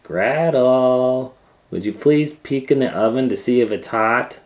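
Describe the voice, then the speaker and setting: A man's voice: medium pitch, a little slow, voice pushed up, lightly acted. A man voicing a greedy, impatient old witch in a children's storybook, gloating and bossy as the witch exclaims. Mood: bossy, scheming. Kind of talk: children's storybook read-aloud. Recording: roomy, echoing indoor sound.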